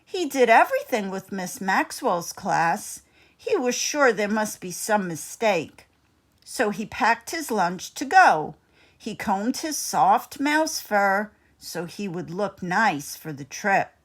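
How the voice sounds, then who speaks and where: Slow, animated woman reading a storybook. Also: mid-range voice. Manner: deliberate, expressive. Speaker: storybook reader. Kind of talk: expressive read-aloud narration of a children's story.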